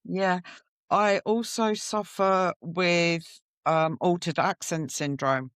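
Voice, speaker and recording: Middle-aged woman, podcast guest, reflective and candid, very measured pace, intimate dry recording. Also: fairly low pitch, fairly even intonation, fairly bright tone, clean tone, no echo. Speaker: podcast guest sharing her story. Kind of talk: personal storytelling in interview.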